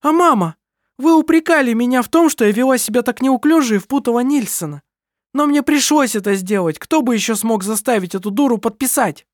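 A reader voicing a furious young woman who shouts accusations in an audiobook. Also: brisk, dry recording, studio quality, lightly acted. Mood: angry. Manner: shrill, shouting.

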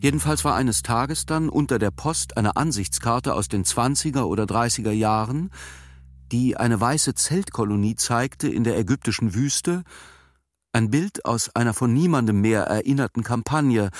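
An actor playing a thoughtful first-person narrator in a radio drama, telling his recollections in a measured, precise, sober way. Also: mid-range voice, animated, dry recording, clean studio sound. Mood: sober, recollecting.

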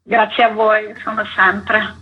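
A woman's voice: medium pitch, flat delivery, slow, husky. A woman explaining things as an interview guest on the radio. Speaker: guest, environmental project leader. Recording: dull, noisy telephone-like line.

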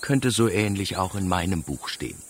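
An actor in a German radio play playing an earnest, grumbling village schoolteacher with a dry, insistent manner. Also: male voice, low voice, normal speed, over loud music, natural voice.

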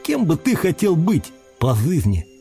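A creaky, swooping sly little thief. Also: male voice, fairly high pitch, wildly swooping pitch, unhurried, dark tone, faint music behind, pitched above the performer's own voice, heavily acted. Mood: gentle, advising. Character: sly young male thief creature, honest, free-spirited.

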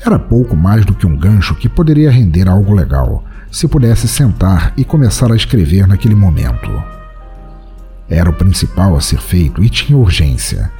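A man acts out a broke, hard-drinking Brazilian writer narrating an audio drama. His low voice is very mellow and swings widely in pitch as he muses wistfully, a weary romantic telling his own story. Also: big pitch swings, normal speed, soft background music. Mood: resigned, reflective. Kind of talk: first-person literary audio drama narration.

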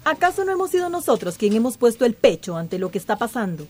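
An actress playing a firm but worried small-town mayor on the defensive in a radio drama. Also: female voice, on the high side, sing-song, fast talker, darkish tone.

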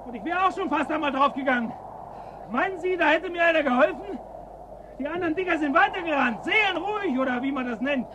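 Bitter cynical looter, gruff, curt, very high for a man, radio play, noisy outdoor ambience. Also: animated, quick pace. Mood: suspicious, defiant. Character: cynical, suspicious male looter in a frozen ruined town. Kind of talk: German radio drama acting. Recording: 1980s radio drama, loud outdoor noise.

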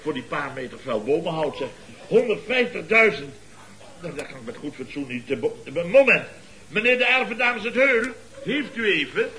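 An actor playing a blustering, boastful contractor boss in a Dutch radio comedy, with a pompous, theatrical, lecturing manner. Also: male voice, a little high, animated, moderate pace, pushed and bright, low-quality recording. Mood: flustered. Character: blustering, boastful contractor boss and uncle, scheming wheeler-dealer.

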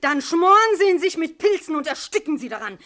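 Hotel landlady, high voice, cantankerous, sharp-tongued, indignant, radio crime drama. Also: big pitch swings, fairly quick, exaggerated voice. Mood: irritated, scolding. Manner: scolding, indignant, blunt.

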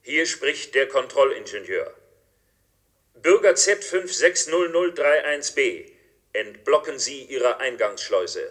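A man's voice: mid-range voice, expressive, slow, fairly bright tone. A man playing an official control engineer in a 1970s science-fiction radio play, giving formal commands. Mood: commanding.

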